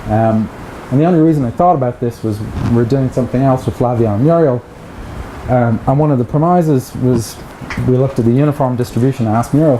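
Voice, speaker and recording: Irish guy giving a seminar, chatty and jokey, middle-ish pitch, roomy echo. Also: warm tone. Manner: chatty, joking asides to the audience. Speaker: lecturer. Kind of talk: academic seminar talk. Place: seminar room with projector. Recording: echoey room with background noise.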